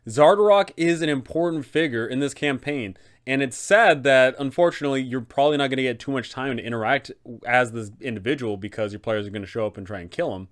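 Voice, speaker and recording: A young man talks as the host of a tabletop game advice video. His pitch is average for a man. He explains things with emphasis and enthusiasm. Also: fast, few pauses. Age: young adult. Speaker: host, game master advisor. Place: small room indoors, seated.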